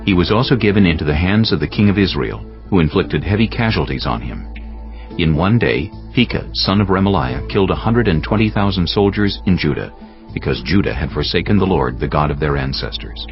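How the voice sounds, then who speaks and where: Casting: male audiobook narrator for scripture, deep bass, solemn and dramatic, expressive intonation, measured phrasing. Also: muffled recording, soft background music.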